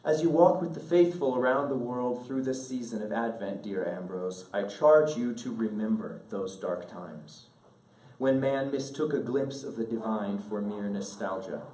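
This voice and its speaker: A man reads a literary letter aloud in a measured, earnest, oratorical way, pausing deliberately, recorded at a distance in an echoing room. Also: fairly low pitch, darkish tone. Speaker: speaker reading a letter aloud at an event. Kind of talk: solemn literary letter read aloud to an audience. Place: indoor event room.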